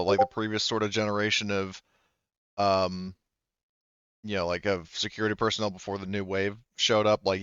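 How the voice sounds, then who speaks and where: A man's voice: on the low side, a bit bright, clean tone, no echo, highs cut off. A man running a tabletop roleplaying game as game master, talking in a rambling way with many fillers and restarts.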